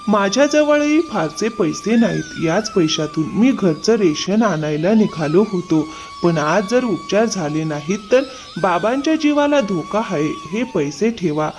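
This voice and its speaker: Compassionate young family man played by a man, gentle and earnest, lively intonation, music underneath. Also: sits high, unhurried, full character voice. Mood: selfless, urgent. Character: kind, earnest poor husband, compassionate and selfless. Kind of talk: animated moral story acting. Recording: music behind the voice.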